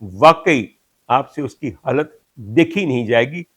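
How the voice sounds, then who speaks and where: An older man from Pakistan with a Pakistani Urdu accent, hosting a talk show and reflecting on a poet, sometimes reciting Punjabi verses slowly and with emphasis. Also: medium pitch, lively intonation, husky. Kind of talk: reflective monologue.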